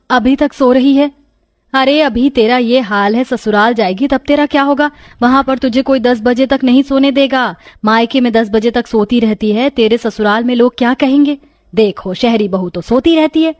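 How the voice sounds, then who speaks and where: A woman's voice: on the high side, moderate pace, dry recording, studio quality, lightly acted. A woman voicing a nagging middle-aged mother in a story, scolding and taunting.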